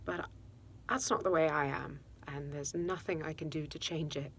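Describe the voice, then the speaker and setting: An actress playing a regretful, quick-tempered mother confessing to her estranged son, in a halting dramatic monologue. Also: deep voice, fairly even intonation, creaky, a little hiss or hum. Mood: defensive. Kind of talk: dramatic monologue, self-taped audition.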